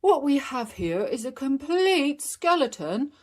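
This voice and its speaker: A woman's voice: high voice, very animated, a little slow, darkish tone, voice pushed up. A woman voicing a proud adult male rabbit expert in a children's storybook, making emphatic announcements. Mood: impressed.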